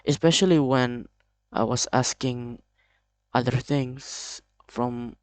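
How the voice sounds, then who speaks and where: A young man with an Indonesian accent, speaking English as a second language in a hesitant, halting confessional vlog full of restarts. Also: mid-range voice, steady tone, darkish tone, no room sound. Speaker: video creator.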